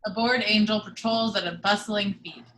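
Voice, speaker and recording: A woman reading the action description at a video call table read in an even, measured way. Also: medium pitch, rough recording. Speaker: narrator reading stage directions.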